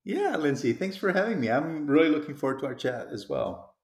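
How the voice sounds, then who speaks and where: A man's voice: on the high side, very animated, a little fast, reverberant. A middle-aged man from Portugal, a sales executive being interviewed, talking in a reflective, rambling way. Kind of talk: conversational interview.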